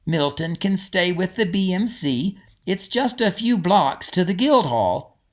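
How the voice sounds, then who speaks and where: A man voicing a protective male guide with a measured, careful, reassuring manner and formal wording, in a storybook reading. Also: sits high, expressive, voice pushed up. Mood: forced cheer. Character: calm male guide, protective, forcing a cheerful tone.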